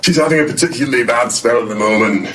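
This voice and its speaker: Guy as fretful protective old grandad in audio drama, medium pitch, little bit of noise. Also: fast talker, fairly bright tone, close to an everyday voice. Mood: worried. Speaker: actor in an audio comedy drama. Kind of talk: audio play dialogue.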